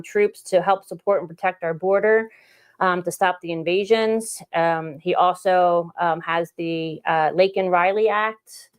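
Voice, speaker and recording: A woman hosting a political podcast, giving casual commentary in a halting, deliberate way. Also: lowish voice, smooth.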